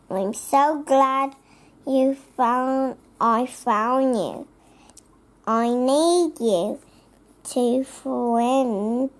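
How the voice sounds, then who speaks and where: A young child reads a storybook aloud in a high voice. The pace is very slow, with halting phrases that sometimes get repeated as the words are worked out. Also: a bit bright. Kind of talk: read-aloud of a children's picture book.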